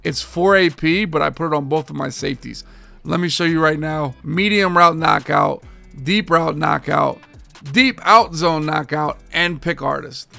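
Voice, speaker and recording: A man in his thirties, a gaming streamer talking casually and energetically to his viewers, his voice a bit hoarse from overuse. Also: on the high side, a little slow, faint music behind. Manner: energetic, slangy, addresses viewers as boys and guys. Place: home game room with framed pictures.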